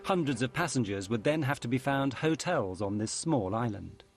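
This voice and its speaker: A man narrating a documentary in a measured, grave scripted style. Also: mid-range voice, lively intonation, music in the background.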